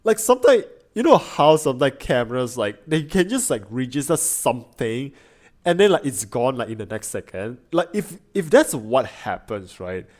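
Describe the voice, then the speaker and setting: A man chatting casually as he reacts on stream. His pitch swings widely as he thinks aloud, full of fillers and restarts. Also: sing-song, normal speed, darkish tone. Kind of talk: casual chat.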